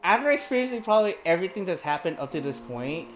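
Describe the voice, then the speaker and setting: A man's voice: high voice, sing-song, fast, fairly bright tone. Casual male streamer chatting over gameplay, filler-heavy and loose, with game music faint behind. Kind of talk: casual commentary while playing a game. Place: hot room at home with a fan running.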